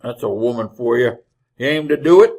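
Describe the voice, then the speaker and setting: An actor playing a sardonic, grumbling tobacco-chewing wagon driver in a radio Western, with a husky American Western voice. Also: male voice, mid-range voice, lively intonation, a little fast, a little mellow, a little hiss or hum, natural voice. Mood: scornful. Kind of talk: old-time radio Western acting.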